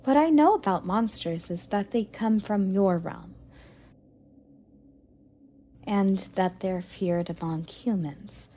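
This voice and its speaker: A woman playing a curious, playful young goddess in an audio roleplay, sweet and expressive with a slightly haughty streak. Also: mid-range voice, a little slow, close to an everyday voice. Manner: inquisitive, expressive, cooing at a pet. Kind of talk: romantic audio roleplay addressed to the listener.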